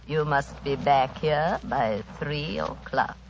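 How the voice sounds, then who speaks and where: An actress playing a gracious but smoothly sinister island hostess, speaking formally and theatrically in 1940s radio drama style. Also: female voice, deep voice, takes it slowly, dull audio. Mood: mysterious, knowing. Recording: noisy, reverberant 1940s radio recording.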